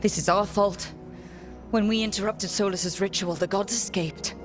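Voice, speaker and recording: An actress playing an earnest, plain-spoken adventurer in a video game, direct and questioning. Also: female voice, mid-range voice, steady tone, normal speed, music in the background, close to an everyday voice. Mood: troubled. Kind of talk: video game cutscene acting.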